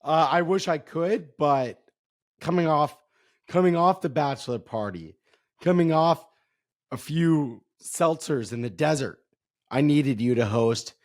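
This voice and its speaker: A young man co-hosting a casual sports podcast. His voice is hoarse and raspy, and he talks in a laid-back, self-deprecating way. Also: on the high side, lively intonation, unhurried, a little mellow. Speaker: podcast co-host.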